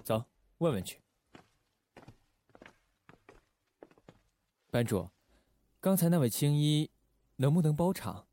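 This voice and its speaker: A man playing a shrewd, authoritative secret-service chief in a Chinese audio drama, measured and wry. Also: on the high side, sing-song, mellow, no room sound, clean studio sound. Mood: probing. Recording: music bed behind the voice.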